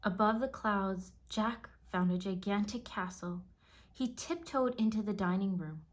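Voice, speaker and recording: Female storybook narrator, mid-pitched, unhurried, expressive scripted read-aloud for young children.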